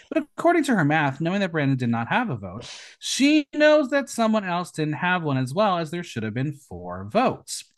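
A man hosting a casual recap show, narrating events in a playful, theatrical way and tossing out questions. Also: a little high. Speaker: podcast host. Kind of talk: casual episode recap commentary with rhetorical questions.

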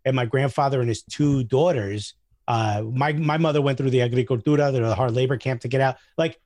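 A man chatting casually as a comedian guest, telling anecdotes in an animated way and acting out the dialogue. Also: medium pitch, fairly quick, no room sound, clean studio sound. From Newark, New Jersey, USA.

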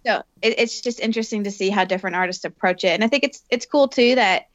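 A woman's voice: medium pitch, fast talker, dry recording. A woman co-hosting a podcast, talking in a thoughtful, rambling way. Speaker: podcast co-host. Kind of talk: casual podcast chat. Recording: dry, slightly dull sound.

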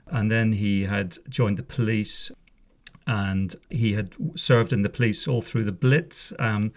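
Wry, gravelly middle-aged man chatting. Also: on the low side. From Northern Ireland. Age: 50s. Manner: chatty, wry, wanders off on asides. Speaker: podcast host, former police officer. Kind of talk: casual spoken monologue. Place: home room.

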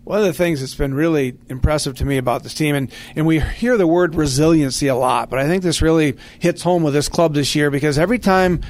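A man's voice: on the high side, a little fast, hardly any pauses, a little mellow. A man hosting a sports podcast in a conversational radio style, speaking earnestly and with complimentary phrasing.

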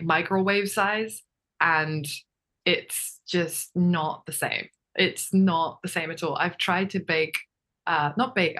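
A young woman raised in Japan talks as a podcast guest in a loose, rambling way. Her voice sits a little low, and she fills her talk with "like" and "um" and soft laughs. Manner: chatty, many fillers and laughs. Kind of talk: casual interview chat.

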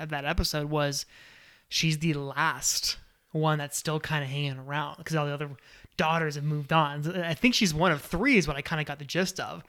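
A man's voice: a little high, pushed and bright, clean tone. A man in his thirties co-hosting a casual podcast recap, easygoing and playful, often laughing. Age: 30s. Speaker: podcast co-host. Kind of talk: casual reality-TV recap chat.

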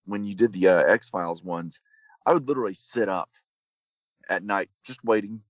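Low-voiced man, slightly muffled and echoey. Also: normal speed. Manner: enthusiastic fan. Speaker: podcast host. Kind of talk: casual interview chat. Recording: muffled, roomy echoing microphone.

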